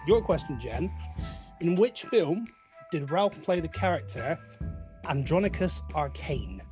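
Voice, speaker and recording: Higher-voiced English man running a quiz. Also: sing-song, a little slow, over music. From England. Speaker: guest quizmaster. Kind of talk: casual quiz hosting with banter.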